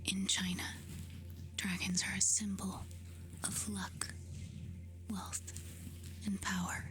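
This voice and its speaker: A woman doing soft-spoken ASMR, reading facts aloud in a hushed, soothing, breathy whisper. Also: medium pitch, little pitch movement. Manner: slow, hushed, soothing delivery with short phrases. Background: music.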